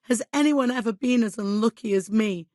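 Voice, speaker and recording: An actress playing a middle-aged medieval village widow in a video game, devout and guilt-ridden, with an exasperated, imperious and plaintive manner. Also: female voice, on the high side, moderate pace, darkish tone, dry recording, clean studio sound, lightly acted. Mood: exasperated, indignant. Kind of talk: dramatic video game dialogue acting.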